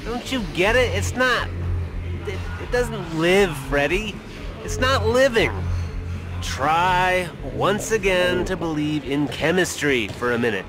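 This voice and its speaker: An exasperated, condescending man in a video game. His slightly high voice swings widely as he scoffs and reasons slowly. Music plays behind. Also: lively intonation. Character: exasperated, scoffing male bar companion of a drunk. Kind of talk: video game cutscene acting.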